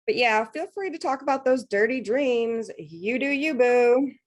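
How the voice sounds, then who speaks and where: Casting: cheeky female makeup artist, acted by a woman, blunt and mocking, slightly high voice. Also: lively intonation, unhurried. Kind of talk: comedy script table read.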